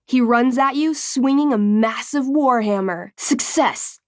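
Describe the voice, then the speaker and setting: An actress plays an eager young woman running a tabletop fantasy game. Her voice sits fairly high and is recorded very dry and close. She tells things theatrically, with casual, wry touches, in video game dialogue. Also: unhurried, no echo, natural voice. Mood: dramatic, suspenseful. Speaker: game master.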